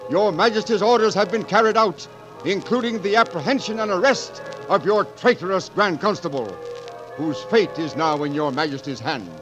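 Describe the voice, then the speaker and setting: An actor playing a dutiful royal officer who reports formally and declaims in 1940s radio drama style. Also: male voice, high-pitched, normal speed, lightly acted. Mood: proud, formal. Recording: dull 1940s radio broadcast, music behind.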